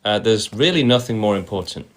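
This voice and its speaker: Insistent low-voiced male debate host. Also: animated, fairly quick. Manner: insistent, repeats "right?". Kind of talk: argumentative debate.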